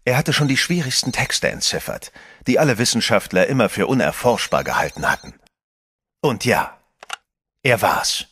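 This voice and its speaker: An actor playing an expectant adventurer who tells his story in measured first-person radio play narration. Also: mid-range voice, lively intonation, a bit bright, slight background noise, close to an everyday voice.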